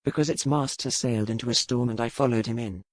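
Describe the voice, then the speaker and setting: An actor playing a dangerous, brooding pirate earl in a romance audiobook, calm and measured, with formal old-fashioned phrasing. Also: male voice, medium pitch, dry recording, lightly acted. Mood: cool. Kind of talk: dramatized romance audiobook dialogue.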